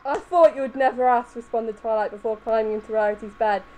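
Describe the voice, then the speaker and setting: A woman's voice: a little high, fairly even intonation, quick pace, a little mellow, rough recording. A woman giving a comedic dramatic reading of fan fiction, prone to giggling as she narrates. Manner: giggly, often breaking into laughter. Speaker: reader.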